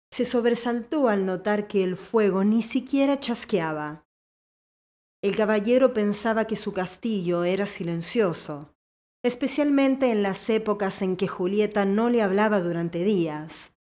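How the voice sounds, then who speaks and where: A woman's voice: mid-range voice, a little mellow. A woman reading scripted audiobook narration as the storyteller.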